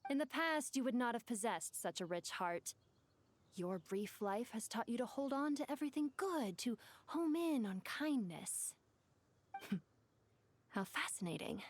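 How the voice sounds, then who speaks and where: A woman's voice: fairly high pitch, expressive, a little slow. A woman voicing an ancient, devoted djinn for a video game, speaking in a theatrical, eloquent and formal way. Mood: tender, probing. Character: ancient djinn woman, grand, wistful, devoted to her lost mistress. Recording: music behind the voice.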